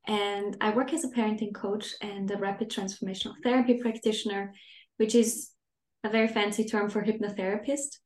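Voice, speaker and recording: A woman's voice: medium pitch, fairly even intonation, a little fast, a little mellow, echoey room. A woman in her thirties, originally from Austria, speaking as a parenting coach guest on a podcast and explaining her ideas thoughtfully. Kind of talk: podcast conversation.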